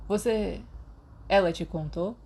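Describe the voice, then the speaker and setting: A woman with a Brazilian Portuguese accent voicing a reserved teenage boy student in a slightly lower, hesitant, quiet tone. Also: slow, husky.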